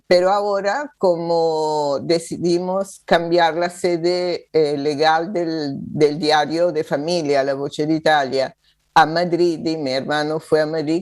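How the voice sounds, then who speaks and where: A woman from Venezuela, a guest in an interview, talking slowly with many hesitations. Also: sits low. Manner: slow, rambling, with frequent hesitations. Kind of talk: casual interview over a call. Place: New York.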